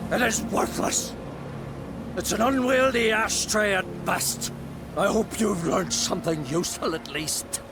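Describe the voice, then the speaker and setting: A man's voice: high voice, measured pace, background noise. A man playing a stern, indignant druid elder in a video game, speaking in a formal, theatrical way. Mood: scornful. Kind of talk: video game cutscene acting. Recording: music under the voice.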